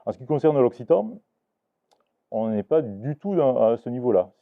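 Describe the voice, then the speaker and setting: A man's voice: mid-range voice, sing-song, moderate pace, gravelly, phone-quality sound. A man teaching about a regional language to a live audience, talking with many restarts and hesitations. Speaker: Occitan teacher giving a talk. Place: book fair talk.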